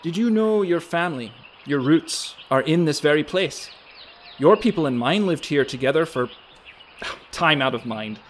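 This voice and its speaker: A man plays an enigmatic fairy keeper of the woods in a radio drama. His voice sits fairly high for a man and moves with an unhurried, gentle lilt. Faint birdsong surrounds him. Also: lively intonation, a little hiss or hum, close to an everyday voice. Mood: gently persuasive. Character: mysterious old male fairy guardian of an Irish forest, gentle, cryptic. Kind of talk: radio play acting. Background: birdsong, woodland ambience.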